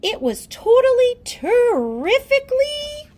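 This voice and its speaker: A woman narrates a children's story aloud. Her voice is high and swoops widely in pitch, and she takes her time, shaping phrases theatrically. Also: sing-song, takes it slowly, darkish tone. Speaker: storybook reader.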